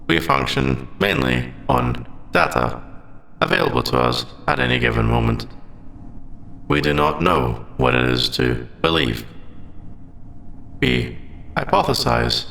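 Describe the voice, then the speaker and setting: A man's voice: medium pitch, creaky, sharp tone, reverberant, a little hiss or hum, full character voice. A man voicing a polite, literal sentient AI in a scripted roleplay audio drama, speaking in stilted, formal, robotic phrasing. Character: male sentient AI, polite, literal, hiding forbidden feelings.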